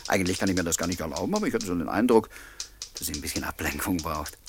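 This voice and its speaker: A man's voice: low voice, lively intonation, very fast, a little hiss or hum. An actor playing a wry, courteous male writer who narrates and talks in a German radio play. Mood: wry, confiding.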